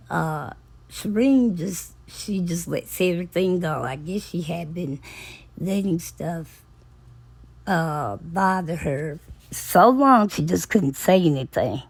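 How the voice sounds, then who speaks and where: A woman hosting a book channel, retelling a novel's plot in a relaxed, storytelling way, her voice raspy and hoarse from talking a long time. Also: fairly low pitch. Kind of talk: casual book recap.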